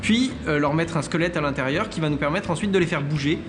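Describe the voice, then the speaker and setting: A man's voice: on the high side, fast, music in the background. A man explaining a technical craft in an interview as an animation specialist.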